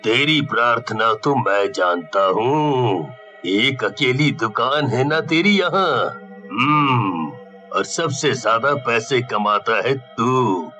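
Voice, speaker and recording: Man acting a slow, sharp-edged gloating devil. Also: medium pitch, expressive, soft background music. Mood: threatening. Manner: menacing, drawn-out, scornful of honest folk. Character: gloating male devil possessing a greedy village bully. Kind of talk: Hindi cartoon story acting.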